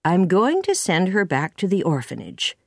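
A woman's voice: fairly low pitch, huge pitch swings, normal speed, vocal fry, no echo, studio quality, heavily acted. A woman voicing a stern, practical farmhouse woman in a storybook, with a firm, no-nonsense manner. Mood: decided.

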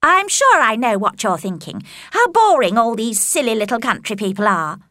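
A woman's voice: a little high, very animated, normal speed, sharp tone, no room sound, clean studio sound. A woman voicing a haughty young society lady in a storybook, with sneering, exaggerated sweetness. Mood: sly, disdainful.

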